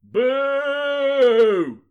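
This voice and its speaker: A man voicing excitable Western townsfolk in a strained, high shouting voice. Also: very slow, phone-quality sound, a little hiss or hum, full character voice. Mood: outraged. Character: indignant crowd of Western townsfolk, loud and excitable.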